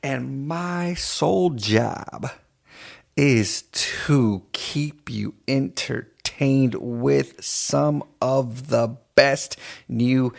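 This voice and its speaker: A man from East Los Angeles hosting a radio show in a laid-back, halting way. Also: medium pitch, creaky. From East Los Angeles, United States. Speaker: radio DJ and host.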